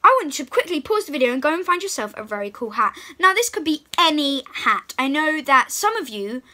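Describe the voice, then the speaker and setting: A young girl, presenting to little children in an excited, encouraging way and reading picture books aloud. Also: high-pitched, brisk. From England. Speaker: host of a children's read-aloud channel. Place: small room at home, indoors.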